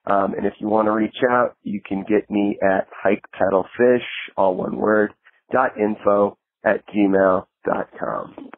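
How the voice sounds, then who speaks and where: A man's voice: on the low side, steady tone, unhurried, dry recording. A man leaving a casual self-promotional message for a podcast as a listener, on a thin phone-like recording. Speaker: podcast listener giving a plug. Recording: tinny band-limited phone-like sound, dry.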